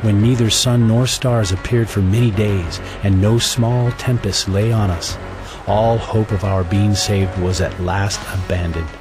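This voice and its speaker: A man narrating a scripture audio drama in a measured, solemn storytelling manner, reading from a script. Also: on the low side, fairly even intonation, low-quality recording. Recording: music bed under the voice.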